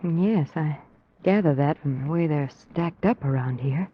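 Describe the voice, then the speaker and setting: A woman's voice: sits low, measured pace. An actress playing a sleuth's uneasy, dryly witty female companion in an old-time radio mystery, genteel in manner. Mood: nervous, wry. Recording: muffled, noisy old radio recording.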